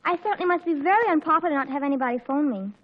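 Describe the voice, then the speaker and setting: A high-voiced, rapid teenage daughter from a 1940s radio comedy. She is dramatic and earnest, easily flustered, and tries hard to sound grown-up. The old recording is muffled. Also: expressive. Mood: fretful. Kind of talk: 1940s radio sitcom acting.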